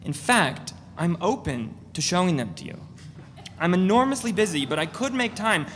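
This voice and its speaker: A woman's voice: lowish voice, very animated, moderate pace. Self-promoting male artist, played by a woman: earnest, pushy, stammering; reverberant, slightly noisy live reading. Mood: eager, insistent. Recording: echoey hall, noticeable noise.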